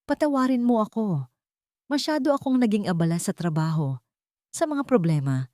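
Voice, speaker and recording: A woman's voice: medium pitch, expressive, normal speed, dark tone, no echo, clean studio sound, voice pushed up, close to an everyday voice. Woman voicing sweet-talking Filipino husband, tenderly apologetic. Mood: apologetic, pleading. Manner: tender, coaxing.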